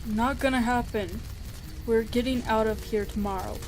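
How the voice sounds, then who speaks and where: A woman's voice: on the high side, steady tone, moderate pace, darkish tone, slight background noise, lightly acted. A woman voicing a tired, easygoing male skeleton older brother in a comic dub, speaking casually. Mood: reassuring, easygoing. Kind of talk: animated comic dub acting. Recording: music behind the voice.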